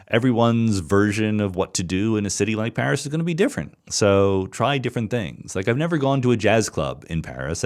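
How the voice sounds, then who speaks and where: A man's voice: low-pitched, darkish tone. A middle-aged man hosting a podcast, answering listener questions in a thoughtful solo monologue, explaining step by step with frequent restarts and repeated words.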